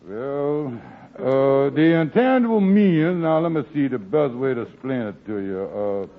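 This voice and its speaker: An actor playing an excitable, work-shy married schemer who blusters and mangles big words in an old radio sitcom. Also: male voice, medium pitch, measured pace. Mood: blustering. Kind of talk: 1940s radio sitcom acting. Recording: muffled old radio broadcast.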